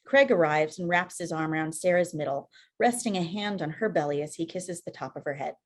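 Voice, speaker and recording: A woman's voice: low-pitched, fairly quick. A woman reading scripted screenplay stage directions as narrator on a video call. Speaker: filmmaker, stage-direction reader.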